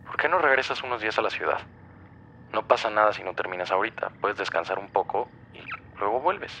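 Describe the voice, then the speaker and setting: A man's voice: lowish voice, animated, fairly quick, pushed and bright, slight background noise, poor audio. A man playing a concerned, level-headed boyfriend in an audio drama, with a cautious, reassuring manner. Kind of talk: naturalistic audio drama acting.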